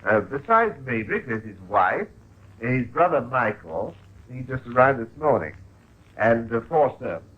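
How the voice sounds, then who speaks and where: Animated, earnest doctor. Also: male voice, medium pitch, a little slow. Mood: concerned. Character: earnest, worried Victorian male physician, puzzled and urgent. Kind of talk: 1950s radio drama acting. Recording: muffled old radio recording.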